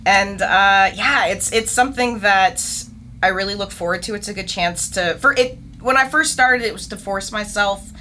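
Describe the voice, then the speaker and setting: A woman's voice: medium pitch, normal speed, pushed and bright, a little hiss or hum. A woman chatting casually and enthusiastically as a guest in an interview, talking as a filmmaker.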